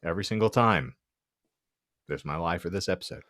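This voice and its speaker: A man's voice: low-pitched, a little fast, a bit bright, dry recording, studio quality. A man hosting a podcast in casual banter, joking with mock-grand announcer phrasing. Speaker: podcast host.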